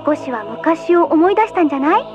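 A woman's voice: extremely high pitch, very animated, fairly quick. A woman voicing a perky, playful young guide in a video game, chirpy and casual as she explains and teases. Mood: playful, helpful. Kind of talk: animated Japanese video game cutscene acting. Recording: music behind the voice.

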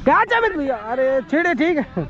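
A man with a very high, bouncy voice shouts encouragement and instructions across an outdoor cricket ground. The field recording is noisy, with traffic and ambience clearly in the background. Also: normal speed, muffled recording. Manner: excitable, calls out instructions to teammates. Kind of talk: shouted on-field calls and banter.

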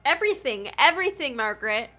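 A woman livestreamer giving casual, animated, slangy commentary while playing a game. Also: sits high, fast. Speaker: gaming livestreamer. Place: small indoor room at home.

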